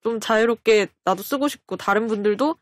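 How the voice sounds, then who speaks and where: A woman's voice: fairly high pitch, a little fast, no room sound, clean studio sound. A woman talking casually as a self-effacing interview guest, choosing her words carefully. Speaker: guest comic artist.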